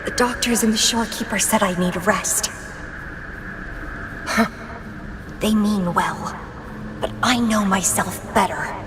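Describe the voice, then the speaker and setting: A woman's voice: medium pitch, slow, long pauses, background noise, close to an everyday voice. A woman playing an obsessive, playful yet melancholy young fighter in a video game, with a theatrical, languid, musing delivery. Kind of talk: video game character acting. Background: background music.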